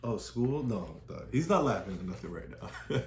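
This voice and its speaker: Woman, very low voice, patient and explaining, repeats key phrases, echoey room. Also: very animated, moderate pace, darkish tone. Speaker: parent reading with a child. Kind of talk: talking through a picture book with a child.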